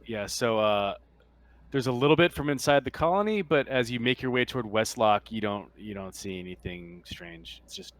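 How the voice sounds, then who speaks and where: A man acting as game master, narrating a tabletop roleplaying game in a casual, halting way. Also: mid-range voice, expressive, no room sound.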